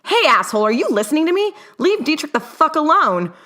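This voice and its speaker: Woman doing a shouty outraged young guy scientist from an audiobook, high shrill voice, swears a lot. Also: big pitch swings, brisk, heavily acted. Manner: shrill, shrieking and shouting, slangy. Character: hot-tempered, outraged young man, a foul-mouthed scientist. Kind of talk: dramatic fiction reading.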